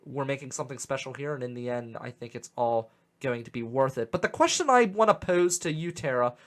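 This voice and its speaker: A young man with a Western Pennsylvania accent hosting a casual radio talk show, animated and opinionated, often repeating words as he gets going. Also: mid-range voice, a little fast. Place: radio studio. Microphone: studio microphone.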